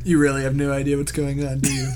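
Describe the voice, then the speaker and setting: A man's voice: medium pitch, completely flat delivery, fast talker, darkish tone. A man co-hosting a casual movie-review podcast, making wry, dry jokes. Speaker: podcast co-host.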